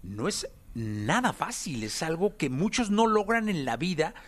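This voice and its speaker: A man's voice: a little high, sing-song, a little slow. A man hosting a casual interview in an affirming, encouraging, conversational way. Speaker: interview host.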